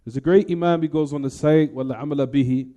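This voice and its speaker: Dark-voiced man teaching a religious lesson. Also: a little high, fast talker. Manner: didactic, repeats and rephrases key points. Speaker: Islamic teacher. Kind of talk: religious lesson explaining a hadith, quoting Arabic.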